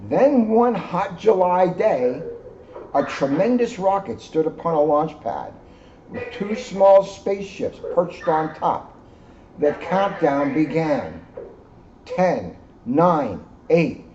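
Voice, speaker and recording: Male storybook reader, police officer, relaxed warm timbre, lo-fi recording. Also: fairly high pitch, slow pace. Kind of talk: children's storybook read-aloud with casual asides. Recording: dull lo-fi sound, faint background noise.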